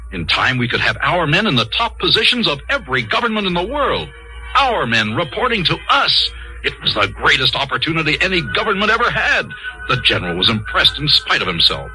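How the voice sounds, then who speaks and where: An actor playing an ambitious, excitable army colonel telling his tale in a 1950s radio drama. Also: fairly high pitch, poor audio. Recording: old 1950s radio recording, music behind.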